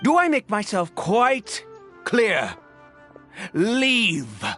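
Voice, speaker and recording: Stern guardian, wildly swooping low voice. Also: female voice, wildly swooping pitch, drawn-out words, a bit bright, soft background music, exaggerated voice. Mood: stern, challenging. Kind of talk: dramatic video game voice acting.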